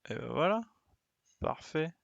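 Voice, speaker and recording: A man's voice: fairly high pitch, lively intonation, unhurried, vocal fry, dry recording, lo-fi sound. A man giving casual live commentary as he plays a game, muttering short interjections as he thinks aloud. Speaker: game streamer.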